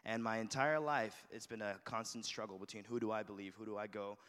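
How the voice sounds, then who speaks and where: A young man from Malaysia who grew up in Shanghai, a student giving an earnest, halting personal testimony to an audience with many restarts. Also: on the low side, fairly even intonation, fairly bright tone. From Malaysia, raised in Shanghai. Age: young adult. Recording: long hall reverb.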